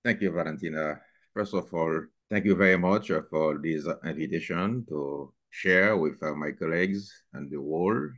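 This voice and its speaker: A man with a remarkably deep voice, talking in a measured, halting way with frequent filler pauses. The recording is dry and close, with almost no room sound. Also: a bit bright, no room sound. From Haiti. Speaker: regional vice president. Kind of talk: podcast interview.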